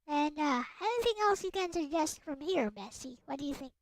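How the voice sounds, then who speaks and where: A woman livestreamer chatting casually as she works, halting and thinking aloud, often muttering and repeating short phrases. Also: sits high, expressive, dry recording. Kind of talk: casual livestream chat while working. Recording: music playing behind the voice. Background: background music.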